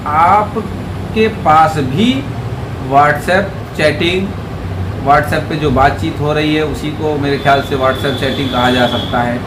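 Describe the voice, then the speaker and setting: A man's voice: fairly high pitch, slow pace. A man giving an informal legal explainer to viewers, talking conversationally and directly, with rhetorical questions. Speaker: legal advisor presenting a video. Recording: echoey room with clear background noise.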